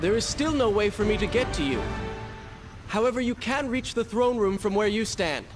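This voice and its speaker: A man's voice: high-pitched, lively intonation, moderate pace. A man playing a solemn, proud yet remorseful young prince in a video game, speaking in an earnest, formal way. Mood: resolute. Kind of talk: dramatic video game acting. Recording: very loud music under the voice.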